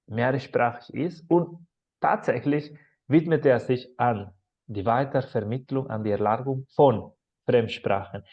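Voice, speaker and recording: A man hosting a podcast and interviewing a guest over a video call, in a measured, welcoming manner. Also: medium pitch, slightly rough. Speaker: podcast host. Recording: dull, lo-fi sound.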